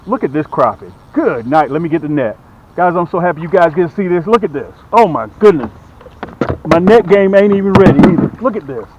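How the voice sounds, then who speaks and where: A man with a fairly high voice and very lively, swooping intonation hosts a fishing vlog. He talks in exclamations and repeats phrases for emphasis. He is outdoors, with clear background noise around him. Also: dull audio. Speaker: fishing show host. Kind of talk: excited fishing vlog chat, demonstrating and selling tackle.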